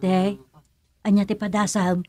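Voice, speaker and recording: A woman's voice: mid-range voice, little pitch movement, unhurried, warm tone, no room sound, lightly acted. Radio comedy role: Filipino village woman, actress, mid-range voice, knowing neighbourly delivery. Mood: exasperated. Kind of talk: Ilocano radio comedy drama acting.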